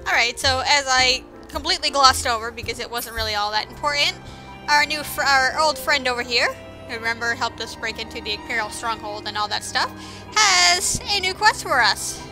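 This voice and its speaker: A woman's voice: high-pitched, normal speed, sharp tone, rough recording. A woman giving casual video game commentary as host, with sarcastic asides, over music. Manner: sarcastic, mildly profane asides.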